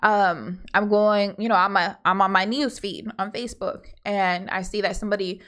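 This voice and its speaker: A woman's voice: mid-range voice, fairly even intonation, normal speed, a bit bright. A woman from Hawaii co-hosting a casual podcast with her husband, rambling through her points with lots of asides and fillers. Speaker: podcast co-host. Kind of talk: casual opinionated chat.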